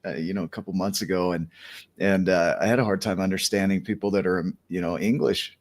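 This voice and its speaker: Casual lower-voiced male host. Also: steady tone, fairly quick. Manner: casual, reflective, with fillers and exclamations. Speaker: podcast host. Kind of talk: conversational interview.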